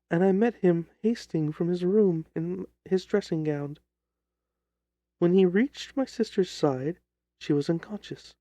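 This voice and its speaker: Distressed young gentlewoman, played by a man, formal and theatrical, soft dark tone, dry close sound, audiobook drama. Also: high voice, dry recording, muffled recording. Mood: sorrowful. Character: distressed young gentlewoman recounting her sister's terrifying death.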